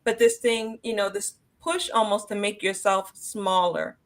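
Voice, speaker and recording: A woman leading a casual book discussion. She sounds reflective, musing aloud and leaning on "you know" as she goes. Her voice sits at an ordinary pitch for a woman. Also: animated, a little slow. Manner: reflective, asks guests questions, "you know" fillers. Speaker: discussion moderator.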